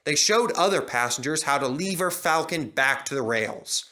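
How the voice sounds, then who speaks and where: Bright, expressive male storybook narrator. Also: on the high side, normal speed. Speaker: narrator and reader.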